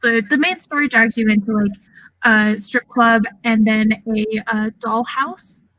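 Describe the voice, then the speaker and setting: A woman chatting casually about video games on a podcast, informal and halting as she thinks aloud. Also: a little high, steady tone, bright, forceful tone, rough recording. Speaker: podcast panelist.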